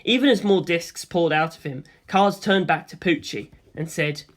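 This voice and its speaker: A narrator reading a first-person story in an expressive, theatrical way. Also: mid-range voice, moderate pace. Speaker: audiobook narrator.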